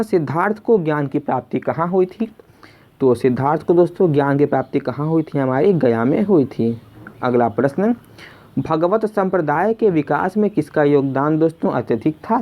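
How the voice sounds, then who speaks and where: Exam tutor with dull, lo-fi sound. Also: medium pitch. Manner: repeats each question before answering, addresses listeners as friends. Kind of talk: question-and-answer exam lesson narration.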